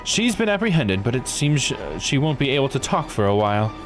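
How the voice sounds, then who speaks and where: Man putting on a polite, lilting, higher-pitched investigator. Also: sing-song, brisk, faint music behind, natural voice. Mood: composed. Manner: polite, formal, precise. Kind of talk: voicing visual novel dialogue.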